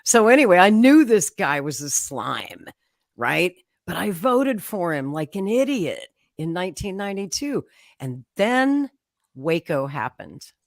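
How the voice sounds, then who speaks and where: A woman tells her story as a guest on a talk show. Her voice sits low, and the sound is dry and close. She talks in a chatty way, laughing often and acting out the words of others. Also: sing-song, a little slow, vocal fry, no room sound. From Oklahoma, USA. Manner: chatty, frequent laughter, acts out quotes. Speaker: political guest. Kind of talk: conversational storytelling.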